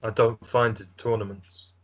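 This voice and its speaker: A man's voice: lowish voice, level tone, moderate pace, lightly acted. A man playing a stern, guarded warrior lord, terse and blunt, in an amateur script table read.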